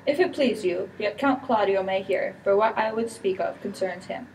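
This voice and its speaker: Slanderous Shakespearean villain, played by a student girl, mid-pitched, amateur stage dialogue, distant mic. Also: normal speed, a little mellow, close to an everyday voice. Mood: formal, guarded. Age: teenager. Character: scheming, slanderous villain, the prince's brother. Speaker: student performer. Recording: distant mic with background noise.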